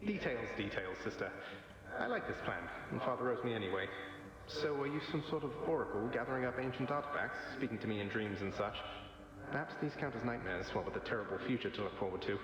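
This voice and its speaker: A man's voice: mid-range voice, lively intonation, quick pace, noisy background, natural voice. A man playing a cocky young underworld prince in a video game, with a dry, sardonic, casually eloquent delivery. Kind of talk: video game drama acting. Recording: echoey hall with long reverb.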